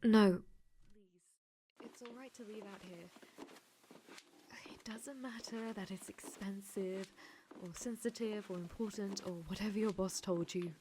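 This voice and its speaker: Woman voicing a slow-talking, clingy yandere game girl. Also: mid-range voice, background noise, lightly acted. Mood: calm, controlling. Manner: coaxing, teasing, drops into whispers. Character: clingy possessive yandere dating-sim girl AI, sweetly teasing. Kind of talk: soft ASMR audio roleplay.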